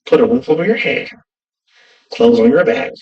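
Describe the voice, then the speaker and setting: A man's voice: a little high, big pitch swings, moderate pace, darkish tone, muffled recording. A man talking as a podcast guest in casual chat, emphatic and repeating phrases for effect. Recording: distant from the mic.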